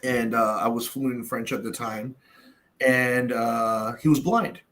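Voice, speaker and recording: A man's voice: mid-range voice, fairly even intonation, measured pace, fairly bright tone. Middle-aged guy, blunt and sweary, lots of "you know" and "right?", just chatting at a roundtable. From Canada. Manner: blunt, profane, storytelling with many fillers. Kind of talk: casual roundtable discussion.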